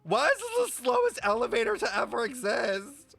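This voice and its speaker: A man's voice: extremely high pitch, wildly swooping pitch, normal speed, creaky, a bit bright. A young man livestreaming, chatting casually and expressively with his viewers as he reacts to a game, in slangy speech. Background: game music.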